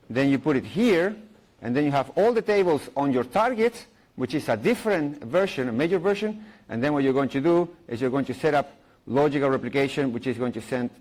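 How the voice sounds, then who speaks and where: A man's voice: on the high side, darkish tone. A middle-aged man from Chile, giving a playful live technical talk at a conference, full of jokes, fillers and restarts. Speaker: conference speaker. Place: conference room.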